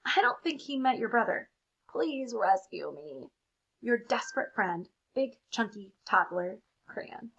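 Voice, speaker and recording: A woman's voice: a little high, animated, unhurried, vocal fry, fairly bright tone, breathy edge, dull audio, full character voice. A woman voicing a desperate, indignant chunky toddler's crayon pleading in a storybook.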